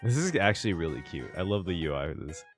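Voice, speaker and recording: A man with a deep voice reacts casually to a video game. His delivery is enthusiastic and highly animated, full of loose slang, with faint game music in the background. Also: fast talker. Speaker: gaming reaction content creator.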